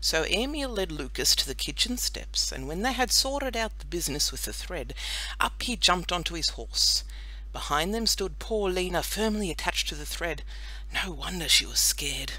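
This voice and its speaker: A woman narrating a children's audiobook in an expressive storytelling style. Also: on the low side, normal speed, poor audio.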